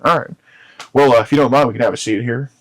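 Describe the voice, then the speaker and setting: A man's voice: mid-range voice, fast, a bit bright. A man asking questions as an interviewer in a casual, informal way, recorded on location inside a home. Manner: informal, chummy address with frequent "man". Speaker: confrontational video interviewer. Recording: noisy on-location recording with clicks.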